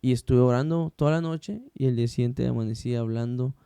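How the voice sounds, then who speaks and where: A man's voice: mid-range voice, brisk, vocal fry, mellow, smooth, no room sound, studio quality. A man hosting a casual faith podcast, chatty and slangy, with many restarts. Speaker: podcast host.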